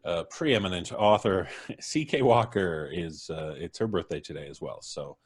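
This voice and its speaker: A man's voice: sits low, normal speed, crowd noise. A man chatting genially and casually as the host of a live stream. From Canada. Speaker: podcast host.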